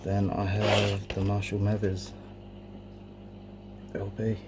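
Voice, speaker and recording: A man with a deep voice full of vocal fry presents his collection casually. He speaks informally and without a script, hesitating now and then. The homemade recording has clear background noise. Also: completely flat delivery, slow. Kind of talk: casual show-and-tell narration. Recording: clearly noisy amateur home recording.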